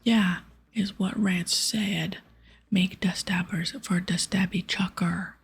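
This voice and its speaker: A woman voicing a crude, hungry ogre hunter in a breathy whisper, with childlike broken grammar, for an ASMR game playthrough. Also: fairly low pitch, fairly even intonation, heavily acted. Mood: insistent. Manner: broken baby-talk grammar, drawn-out words.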